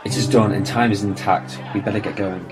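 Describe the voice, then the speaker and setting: A man acting in an audio drama as a grave, weary time traveller, speaking in a solemn, measured way. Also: on the low side, steady tone, crowd noise, natural voice. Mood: subdued, regretful.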